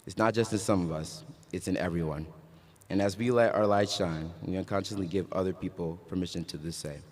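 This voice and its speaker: A man's voice: low-pitched, a little fast, long reverb tail. A young man from Ghana giving a graduation speech, casual and joking, then earnest and uplifting. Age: young adult. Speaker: graduation speaker. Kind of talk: commencement speech. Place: large hall.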